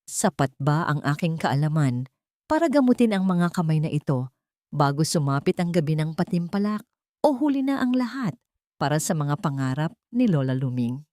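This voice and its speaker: A woman's voice: sits low, very animated, normal speed, dark tone, no room sound, clean studio sound. A woman playing a determined young granddaughter in an audio story, earnest, firm and protective. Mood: earnest worry.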